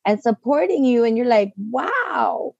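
A woman from the Virgin Islands talks as a podcast guest, spinning lively stories. Her voice is mellow and dark, recorded dry and close with a slightly dull, muffled band. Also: a little high, measured pace, gravelly, slightly rough, no room sound. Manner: lively storyteller, tags lines with "right?". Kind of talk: casual interview chat.

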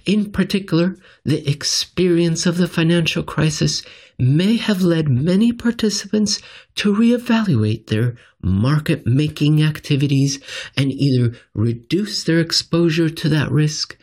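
Guy doing a lady central banker, voice pushed up high, careful and official sounding, reading a speech. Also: lively intonation, a little slow, a little mellow, slightly rough, full character voice. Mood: formal, measured. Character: female central bank official giving a careful formal speech. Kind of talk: acted quote in an article reading.

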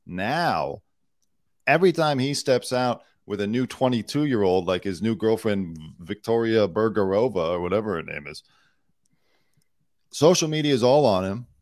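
A man co-hosting a casual podcast, talking in an animated, opinionated way with emphatic asides. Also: lowish voice, normal speed, dry recording, studio quality. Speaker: podcast co-host.

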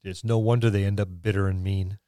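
A man's voice: fairly low pitch, flat delivery, quick pace, warm tone, smooth, dry recording. Casual man chatting, close dry sound. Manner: informal, agreeable, acts out imagined exchanges. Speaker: podcast co-host. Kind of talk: casual chat about tabletop gaming.

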